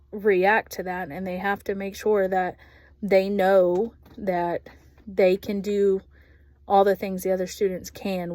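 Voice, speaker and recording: Texas gal in her 30s talking thru her thoughts, kinda monotone, lots of ums, dry sound. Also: mid-range voice, no room sound. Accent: Texan. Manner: thoughtful, halting, frequent ums. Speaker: ESL aide. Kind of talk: spoken reflection on a course video.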